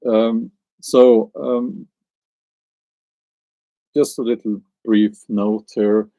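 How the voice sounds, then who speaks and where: Slow gravelly Norwegian man, hesitant. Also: lowish voice, little pitch movement, warm tone, no echo, poor audio. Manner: hesitant, musing, searches for words. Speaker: live streamer answering chat. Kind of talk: casual live stream chat.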